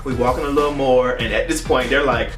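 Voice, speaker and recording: A man's voice: mid-range voice, steady tone, fast talker, fairly bright tone. A young man tells a story in a casual, playful, dramatic vlog style with slangy phrasing. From United States. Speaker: YouTube vlogger. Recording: roomy echo, distant mic, music behind.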